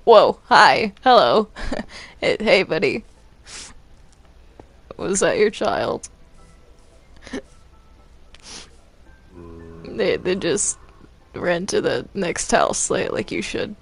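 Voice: mid-range voice, very animated, drawn-out words, vocal fry, long pauses, a bit bright, breathy edge, soft background music. A gamer chatting casually and playfully during gameplay, often giggly and teasing.

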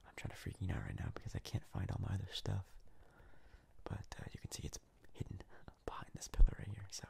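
A man giving hushed, casual ASMR game commentary in a breathy, gravelly whisper, close to the mic. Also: low voice, big pitch swings. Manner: hushed, casual, unhurried rambling. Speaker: gaming video host.

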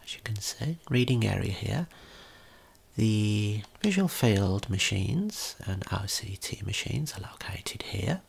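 Slow, breathy whispering ASMR guide. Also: mid-range voice, very animated. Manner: gentle, unhurried, explanatory. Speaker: tour guide. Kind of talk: ASMR whispered scripted tour narration.

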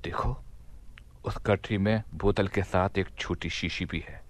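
Radio play Caliph: shrewd, measured, commanding, on the deeper side. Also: male voice, fairly even intonation. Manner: measured, authoritative. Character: shrewd, composed Caliph disguised as a merchant. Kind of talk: Hindi radio play acting.

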